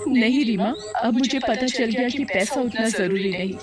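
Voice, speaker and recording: A woman's voice: fairly high pitch, moderate pace, pitched above the performer's own voice, lightly acted. A woman voicing a greedy, hot-tempered wife in a melodramatic cartoon story, whiny and dramatic. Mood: pleading. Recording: loud music behind the voice.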